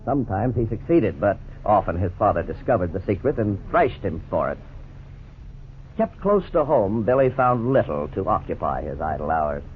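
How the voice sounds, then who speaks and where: A man with a deep, low voice narrates in a grave, measured way, in scripted 1950s radio style. The sound is thin and phone-like, with faint background hiss. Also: sing-song. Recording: tinny old radio broadcast, faint hiss.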